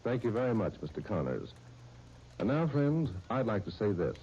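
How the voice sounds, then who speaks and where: An American man announcing and narrating in a formal, measured, polished 1930s radio style. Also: on the low side, big pitch swings, mellow, slight background noise. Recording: muffled 1930s radio with music behind.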